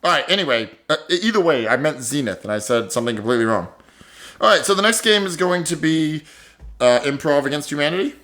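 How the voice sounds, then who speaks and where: Fairly high-voiced man in casual banter. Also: animated, fast talker, fairly bright tone. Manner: conversational, bantering. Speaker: podcast co-host. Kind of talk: improv comedy games, casual banter.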